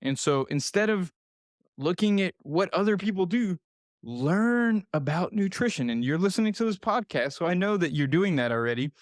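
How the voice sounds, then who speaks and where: Thirties male podcast host, earnest coaching delivery, slightly high voice, dry close mic. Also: lively intonation, moderate pace, no echo. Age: 30s. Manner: earnest, repetitive, speaks directly to listener. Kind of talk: solo podcast advice talk.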